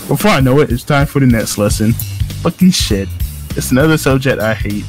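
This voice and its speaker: A man's voice: mid-range voice, lively intonation, a little fast, soft background music. A man reading a visual novel aloud as a let's-play host, with crude jokes, swearing and exclamations. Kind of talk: casual gaming commentary with read-aloud narration.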